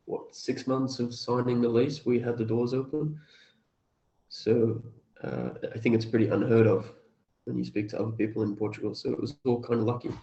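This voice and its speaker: A laid-back man with a mellow, dark voice that stays fairly flat. He talks casually and understatedly, as a guest in an interview. The recording is a little dull. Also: fairly low pitch. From Australia. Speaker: cafe owner and interview guest.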